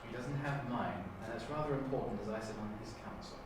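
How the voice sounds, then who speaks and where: A man's voice: medium pitch, fast, natural voice. Man playing self-important councillor, very echoey room. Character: earnest, self-important male Time Lord council member. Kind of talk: improvised sci-fi drama audition. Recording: very echoey room, distant mic, clear noise.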